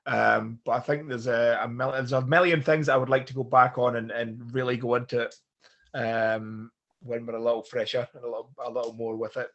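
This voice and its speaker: Man, podcast host, croaky from a slight cold, hesitant, medium pitch. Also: little pitch movement, brisk, a bit bright, lo-fi sound. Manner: hesitant, many ums and restarts. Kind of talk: casual conversation.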